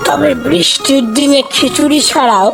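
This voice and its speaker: High-pitched, excitable cartoon dad put on by a performer. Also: moderate pace, gravelly. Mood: nostalgic. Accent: West Bengal Bengali. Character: khichuri-mad middle-aged village-raised father, nostalgic and excitable. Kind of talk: cartoon family dialogue. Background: prominent background music.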